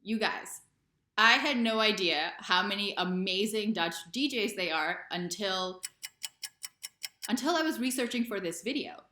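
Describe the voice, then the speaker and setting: A woman's voice: medium pitch, unhurried, bright, forceful tone. A young American woman in her twenties or thirties talking in a casual, conversational and emphatic way, explaining things as a video presenter. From United States. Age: 20s or 30s. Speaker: video presenter, expat vlogger. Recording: roomy, echoing sound.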